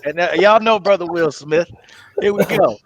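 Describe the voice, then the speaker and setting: A man's voice: high voice, moderate pace, slight background noise. A man hosting and narrating a script reading in a casual, conversational way. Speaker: host and narrator.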